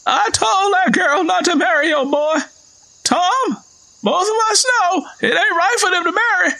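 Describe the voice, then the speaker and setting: A man's voice: very high-pitched, a little slow, gravelly, bright, forceful tone, pitched above the performer's own voice, heavily acted. A man voicing a stern, stubborn old Southern father in an audio story, speaking bluntly and insistently. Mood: indignant. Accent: American South. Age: older.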